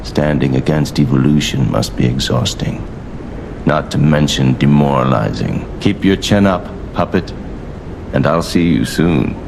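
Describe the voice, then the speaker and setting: Super deep slow pompous alchemist villain, mock polite, condescending, rumbly noise behind him. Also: male voice, creaky, full character voice. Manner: pompous, mock-courteous, measured speechifying. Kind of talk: theatrical video game villain monologue.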